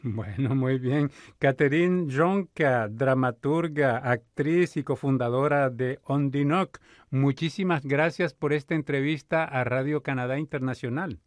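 A man's voice: mid-range voice, measured pace, no echo, clean studio sound. A man hosting a radio interview, prompting his guest and finishing her sentences.

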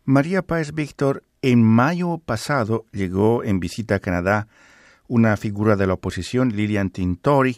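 Man, radio interviewer, formal and measured, lowish voice, dry studio sound. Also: dry recording.

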